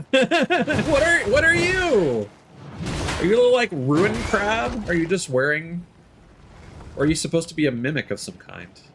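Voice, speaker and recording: A man giving casual live commentary on a video game, musing and thinking aloud in a halting way. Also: sits high, huge pitch swings. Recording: clearly noisy, game sound underneath. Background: video game ambience.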